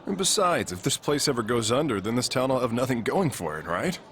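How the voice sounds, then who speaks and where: An actor playing a relaxed, reassuring bar regular in a game cutscene, casual. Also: male voice, medium pitch, brisk, natural voice. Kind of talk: video game cutscene acting. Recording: crowd clearly behind the voice.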